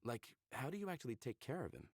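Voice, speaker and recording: A man's voice: mid-range voice, wildly swooping pitch, fast, vocal fry, slightly rough, no echo, studio quality, exaggerated voice. An actor playing a curious, candid human man in a visual novel, talking casually and a little flirtatiously.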